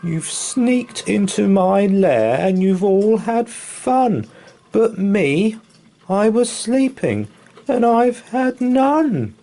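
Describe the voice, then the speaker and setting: A man's voice: high-pitched, very animated, very slow, creaky, dark tone, pitched above the performer's own voice, full character voice. A man voicing a big male bear who whimpers and complains after being woken, in a children's storybook reading. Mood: whiny.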